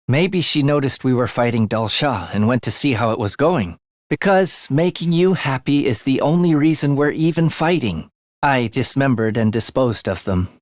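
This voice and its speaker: Sly, animated young assassin played by a man. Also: mid-range voice, no room sound, clean studio sound, close to an everyday voice. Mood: exasperated, sarcastic. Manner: theatrical, teasing, wry. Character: sly, sardonic young male assassin with a dry wit. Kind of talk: video game character voice lines.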